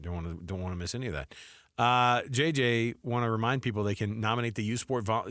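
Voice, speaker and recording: Fast-talking, bright American male radio host. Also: mid-range voice, dry recording, clean studio sound. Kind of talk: conversational sports talk radio. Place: radio studio.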